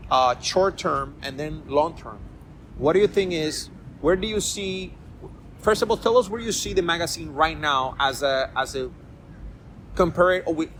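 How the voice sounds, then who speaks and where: A man's voice: fairly high pitch, fairly bright tone, slight background noise. A middle-aged man from Rico, hosting a casual interview podcast and rambling through repeated words and restarts. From Puerto Rico. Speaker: podcast host.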